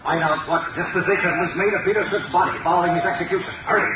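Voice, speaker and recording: Guy doing a sly creepy vigilante voice, slow and taunting, old noisy radio sound. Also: high-pitched, fairly even intonation, natural voice. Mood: commanding. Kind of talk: 1930s radio drama acting. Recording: muffled, noisy, echoey 1930s radio recording from a distance.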